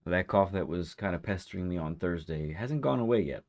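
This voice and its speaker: Low-voiced man with a hoarse, phlegmy throat. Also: lively intonation, a little fast. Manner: chatty, reads out viewer names warmly. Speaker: live-stream host. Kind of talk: casual live-stream chat greeting viewers.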